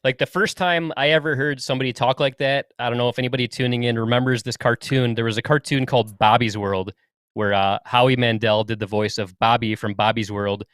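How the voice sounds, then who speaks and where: A man co-hosting a podcast in casual chat, chatty and quick to laugh. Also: medium pitch, fairly even intonation, a bit bright, clean tone, dry recording, clean studio sound. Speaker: podcast co-host.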